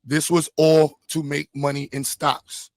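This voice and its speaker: Male debate host, higher-pitched and a touch hoarse, presses points by repetition, dry close sound. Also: level tone, measured pace, no echo. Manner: insistent, repeats himself. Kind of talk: live debate, reading sources aloud.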